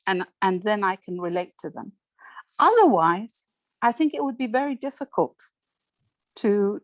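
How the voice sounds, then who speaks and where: A woman's voice: mid-range voice, no room sound. Earnest woman in a dry-sounding call. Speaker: audience participant sharing a reflection. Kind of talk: earnest spoken reflection in an online discussion. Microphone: video call.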